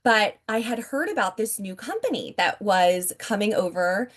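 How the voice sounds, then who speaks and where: A woman in her forties giving an upbeat, chatty sales pitch from home over a video call, often addressing her listeners directly. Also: big pitch swings, moderate pace. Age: 40s. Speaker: network marketing presenter.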